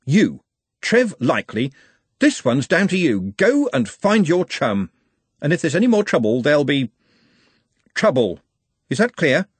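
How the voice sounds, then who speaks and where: A man's voice: on the high side, very animated, unhurried, long pauses, husky, no room sound, pitched above the performer's own voice, heavily acted. A man voicing a bossy, short-tempered police sergeant who barks orders. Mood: stern. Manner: barking orders.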